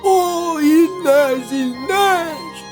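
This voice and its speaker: A man's voice: very high-pitched, drawn-out words, voice pushed up, exaggerated voice. A man voicing a dazed, childlike old man who wonders aloud in an audio drama. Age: older. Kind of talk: audio fiction character acting. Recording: music behind the voice.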